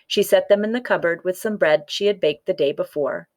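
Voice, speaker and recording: A woman's voice: fairly low pitch, a little fast, a little mellow. A woman reading a children's folktale aloud as scripted storybook narration. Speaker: story reader.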